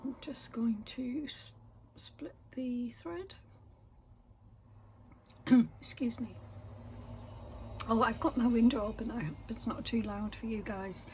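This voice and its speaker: A woman with a slightly high voice, croaky from a sore throat, talking slowly and softly as she stitches. She rambles with many pauses and drifts into half-whispers. Faint room ambience sits behind her voice. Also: slight background noise. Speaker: craft vlogger. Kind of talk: casual chat while stitching. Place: home room with window open.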